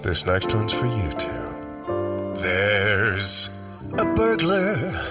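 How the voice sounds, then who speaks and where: A man's voice: fairly low pitch, big pitch swings, very slow, full character voice. A man playing a jokey lounge singer and emcee in a radio ad, with showbiz patter and corny one-liners. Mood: schmaltzy. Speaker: ad character. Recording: loud piano music behind the voice.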